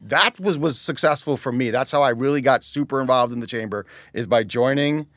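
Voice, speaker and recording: A man's voice: medium pitch, brisk, a bit bright, no echo, studio quality. A middle-aged man, a guest on a podcast, explaining in earnest, casual interview talk. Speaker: chamber of commerce president, guest. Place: small dark studio with couches. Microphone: studio mic on stand.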